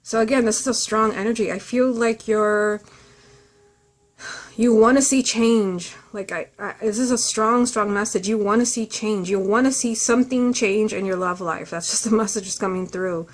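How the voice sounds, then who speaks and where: Woman tarot reader, mid pitch voice, talking straight to you, earnest and thoughtful, informal card reading. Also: fairly even intonation, normal speed.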